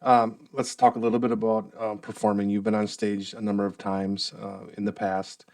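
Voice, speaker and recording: A man's voice: fairly low pitch, little pitch movement, moderate pace, dry recording, recorded from a distance. A man hosting a podcast, asking friendly casual questions with short backchannels. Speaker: podcast host. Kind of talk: casual interview. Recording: dry, a bit off-mic.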